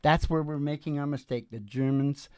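A man's voice: a little high, moderate pace, mellow, clean tone, no room sound, clean studio sound. An older man hosting from a stage, chatting casually in a colloquial, emphatic, rambling and opinionated way into a vocal microphone. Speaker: musician and show host. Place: small indoor stage. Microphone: close stage vocal microphone.

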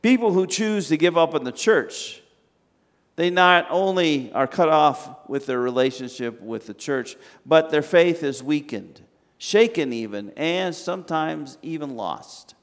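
An older man, a pastor, preaching a sermon from a pulpit in a large church sanctuary, with slow, measured delivery and long pauses. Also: on the high side, lively intonation. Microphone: standing at a pulpit, far camera. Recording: reverberant church hall.

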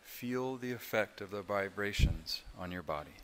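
A man leading a guided meditation as a yoga instructor, speaking in a calm, soothing, unhurried way with long pauses. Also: lowish voice, slight background noise.

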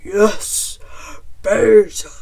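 Woman as big male bear, children's storybook, hungry friendly cook, very slow, husky, hearty and booming. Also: steady tone, mellow, heavily acted. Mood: eager, musing.

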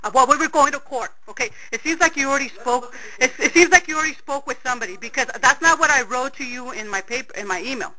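A middle-aged woman on a phone call who talks loudly and insistently, repeating herself again and again. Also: sits high, quick pace, few pauses. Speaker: caller.

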